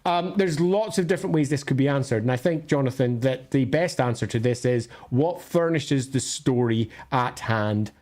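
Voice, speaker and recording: A man with a Scottish accent and a medium-pitched voice hosts a livestream. He chats casually, thinking aloud in a discursive, opinionated manner. His phrasing is colloquial, with informal asides along the way. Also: moderate pace, hardly any pauses, a little mellow. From northern Scotland. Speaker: livestream host answering viewer questions.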